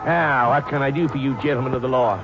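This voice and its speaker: An actor playing a smooth-talking, evasive male sideshow showman with grandiloquent speech, in an old-time radio drama. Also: mid-range voice, fairly even intonation, fast, dull audio, lightly acted. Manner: grandiloquent, with a hesitant uh.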